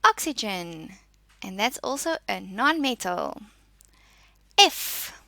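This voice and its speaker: A woman teacher with a bright, forceful voice that carries a noticeable creak. Her pitch moves in a lively way as she reads out and explains lesson material in short, deliberate statements. She takes her time, keeping an instructive manner. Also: no room sound. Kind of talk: spoken lesson, reading out and explaining.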